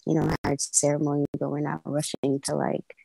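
A woman's voice: low voice, completely flat delivery, a little fast, gravelly, dark tone, no room sound, low-quality recording. A woman in her twenties from the United States, a caller on a show, who talks in a hesitant, wordy way with many ums. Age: 20s. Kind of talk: casual conversation.

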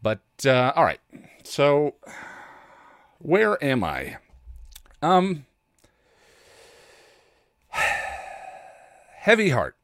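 A man with a cold talking casually and haltingly, with self-deprecating asides, as a solo podcast host. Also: on the high side, huge pitch swings, no room sound, clean studio sound. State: congested with a cold.

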